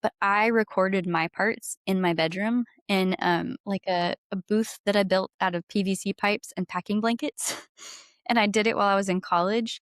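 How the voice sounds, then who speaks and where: A woman's voice: mid-range voice, steady tone, moderate pace, no echo, clean studio sound. A young adult woman chatting casually as an interview guest, candid and gushing in an informal way. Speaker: guest, singer-songwriter. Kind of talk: casual chat, storytelling.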